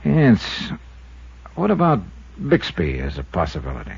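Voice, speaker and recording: A man's voice: deep voice, huge pitch swings, measured pace, creaky, slightly rough, heavily acted. An American man playing a tough, probing insurance investigator in 1950s radio drama style. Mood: businesslike, wry. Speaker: lead character and narrator. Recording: muffled vintage 1950s radio recording.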